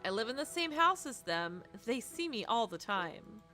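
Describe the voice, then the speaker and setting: Young woman streamer reading a visual novel out loud, bright clear-cut voice, dramatic, music under it. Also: a little high, very animated, moderate pace. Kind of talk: expressive read-aloud of a visual novel with casual reactions.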